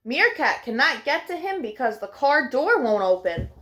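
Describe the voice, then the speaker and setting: A woman's voice: high-pitched, big pitch swings, normal speed, bright, forceful tone. A woman hosting a children's storytime, reading picture books aloud expressively, with playful sound effects. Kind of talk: animated picture-book read-aloud for young children.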